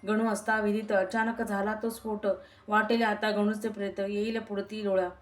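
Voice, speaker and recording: A woman reciting a long devotional verse story in a steady, sing-song recitation. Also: medium pitch, normal speed.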